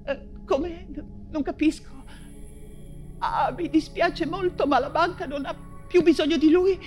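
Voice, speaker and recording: An actress playing an anguished, feverish persecuted writer and mother in an intense theatrical radio monologue, halting and repeating her words. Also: very high voice, steady tone, a little mellow, soft background music. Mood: bewildered, distressed. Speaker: stage actress.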